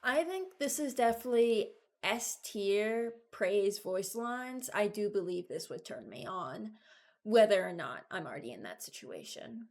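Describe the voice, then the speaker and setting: Woman, medium pitch, casual video commentary, unscripted, hesitant, thinking out loud. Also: big pitch swings, measured pace. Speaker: video creator ranking game voice lines.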